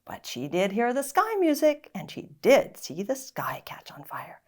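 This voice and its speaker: A woman reading a children's story aloud in an expressive, dramatic narrating style. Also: on the high side, unhurried. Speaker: story reader.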